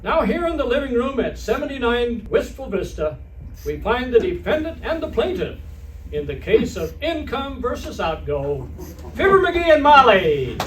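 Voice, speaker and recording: A high-voiced, very animated vintage radio announcer played by a man delivers scripted pitches. The recording is distant, with clear background noise. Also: normal speed. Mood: presenting. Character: cheerful old-time radio announcer and pitchman. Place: live theater stage performance. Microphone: far from the microphone. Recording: noisy, distant stage recording.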